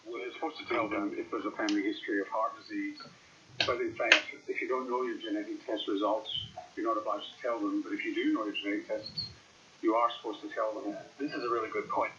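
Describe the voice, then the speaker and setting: A man speaking on a talk radio broadcast, brisk and explanatory, heard from an old radio. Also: on the low side, steady tone, sharp tone, a little hiss or hum. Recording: distant radio speaker playback, lo-fi.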